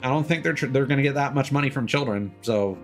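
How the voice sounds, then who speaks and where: A man's voice: mid-range voice, fast, soft background music. A man in his thirties chatting casually on a game livestream, rambling and opinionated as he talks. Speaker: game streamer.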